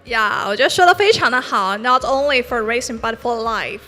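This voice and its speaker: Bright high-voiced woman emceeing on stage. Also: animated, a little fast. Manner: upbeat, formal announcer phrasing. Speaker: bilingual event emcee. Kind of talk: stage hosting, switching between Mandarin and English. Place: event stage.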